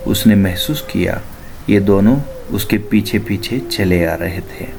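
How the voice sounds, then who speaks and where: Male narrator for scripted horror folktale, lowish register, very unhurried delivery. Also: gravelly, background noise. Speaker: storyteller. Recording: prominent music under the voice. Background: music.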